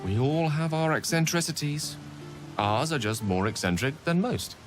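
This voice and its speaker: An actor playing a courteous, learned wizard with a grave secret, speaking in formal, wordy video game dialogue. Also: male voice, fairly high pitch, animated, moderate pace, natural voice. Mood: wry. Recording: music and rain behind the voice. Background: music, rain.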